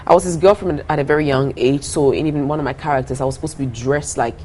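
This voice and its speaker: A young woman from Ghana speaks in a notably low voice, earnest and quick to laugh. She often repeats her phrases as she talks through her answers. A faint hiss sits under the recording. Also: dark tone. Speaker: singer guest. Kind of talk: casual interview talk.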